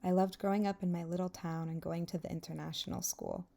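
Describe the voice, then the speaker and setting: Mellow, creaky-voiced young woman narrating. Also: lowish voice, steady tone, fast talker. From England until age ten, then the US. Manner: reflective, wistful. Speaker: vlogger narrating her own video. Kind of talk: scripted reflective voice-over narration.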